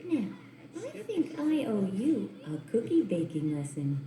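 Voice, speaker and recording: A woman voicing a motherly, gently exasperated female spider in a children's cartoon. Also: on the high side, wildly swooping pitch, a little slow, roomy sound, crowd noise. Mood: kindly. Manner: motherly, instructive. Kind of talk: animated children's cartoon acting.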